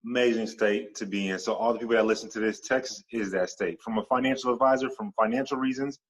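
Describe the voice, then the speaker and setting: A man speaking as a podcast guest in a casual interview, animated and stacking long repeated lists as he talks. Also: fairly low pitch, fast, breathy edge.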